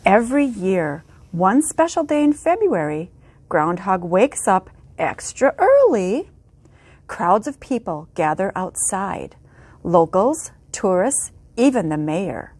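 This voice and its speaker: A woman librarian reading picture books aloud for children's story time, animated, with whispered asides. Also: mid-range voice, takes it slowly, breathy edge, no echo.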